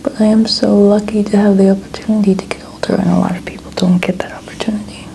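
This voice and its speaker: Softly whispering ASMR woman in her twenties. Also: mid-range voice, flat delivery, fast talker. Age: 20s. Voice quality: soft, breathy, often whispered. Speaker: ASMR video host. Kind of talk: soft-spoken ASMR, casual personal chat.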